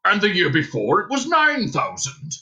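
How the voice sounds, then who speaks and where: A scheming heavyset Baron, as a male narrator puts him on, swinging from loud barks to cold, measured whispers. His pitch leaps about wildly, and the tone stays bright and forceful throughout an audiobook reading. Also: wildly swooping pitch, lo-fi sound, heavily acted. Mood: scoffing. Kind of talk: audiobook character voice.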